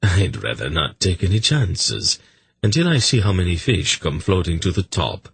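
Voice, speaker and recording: Man as a wry, husky sly rogue. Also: deep voice, big pitch swings, moderate pace, no echo, full character voice. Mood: wry, amused. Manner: casual, drily teasing. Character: sly, wry, weasel-faced man, amused.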